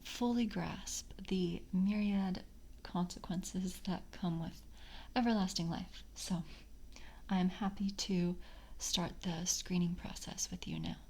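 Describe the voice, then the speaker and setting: Casting: woman as courteous vampire coven interviewer, ASMR roleplay, soft half-whisper, unhurried delivery, slight background noise. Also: lowish voice, lively intonation, heavily acted. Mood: polite, measured. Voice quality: soft, breathy, often whispered. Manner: hushed, halting, formally courteous. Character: polite vampire coven member screening a candidate.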